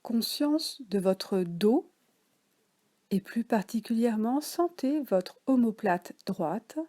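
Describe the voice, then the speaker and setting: A French woman guiding a yoga nidra relaxation session, speaking softly with some words whispered. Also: fairly high pitch, lively intonation. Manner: slow, soft, partly whispered guidance. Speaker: relaxation guide.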